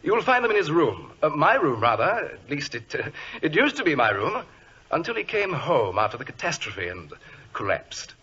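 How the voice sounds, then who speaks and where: An actor playing an affable, chatty, fussy British gentleman in a 1940s radio drama, effusive and a little flustered, with a husky voice. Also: fairly high pitch, sing-song, normal speed, exaggerated voice. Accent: British English. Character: affable, chatty, fussy English gentleman, future brother-in-law. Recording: dull old radio recording.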